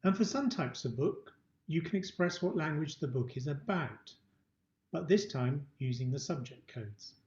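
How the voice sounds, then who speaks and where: A man's voice: on the high side, expressive, normal speed, darkish tone. A middle-aged man giving a prepared instructional presentation in an indoor room. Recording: dull, roomy webcam-style recording.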